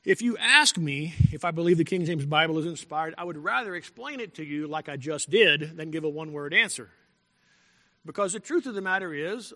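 Bright-voiced pastor preaching in an echoing hall. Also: male voice, on the high side. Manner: deliberate and emphatic, repeating key phrases; clears throat now and then. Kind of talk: sermon. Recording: long echo from a large hall.

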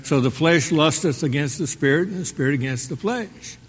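Husky preacher in a reverberant sanctuary. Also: male voice, fairly high pitch, expressive, normal speed. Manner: call-and-response preaching, rhetorical questions. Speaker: pastor. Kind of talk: sermon. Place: church sanctuary. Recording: long hall reverb.

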